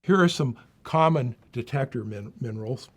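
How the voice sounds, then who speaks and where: A man's voice: medium pitch, expressive, normal speed, husky, faint music behind. A man giving a plain, unscripted, instructive explanation as he demonstrates equipment. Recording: faint background noise.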